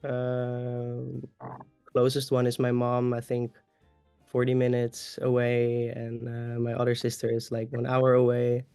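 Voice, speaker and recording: A young man from Austria speaks English as a second language, his first being German. He chats openly and at length, with fillers and restarts, at a middle pitch. The call audio is dry and close, with faint music. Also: monotone, measured pace, mellow, clear, resonant tone, no echo. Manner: open, chatty, with fillers and restarts. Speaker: guest. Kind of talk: casual conversation.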